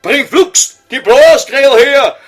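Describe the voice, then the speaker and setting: A man's voice: very high-pitched, takes it slowly, faint music behind. A man voicing a grumpy old scholar in Viennese dialect for an audio comedy sketch, whining and impatient. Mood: impatient, irritated.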